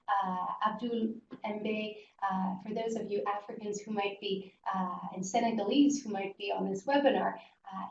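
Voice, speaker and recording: A woman's voice: mid-range voice, echoey room. Woman, webinar presenter, hesitant delivery, muffled sound, distant mic, faint noise. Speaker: webinar presenter for a business school. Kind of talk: informal slide presentation.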